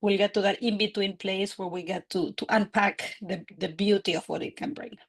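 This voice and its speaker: A woman's voice: medium pitch, fairly even intonation, normal speed, breathy edge. A woman from Argentina, a leadership coach and guest, talking earnestly in a podcast interview and building her points with examples.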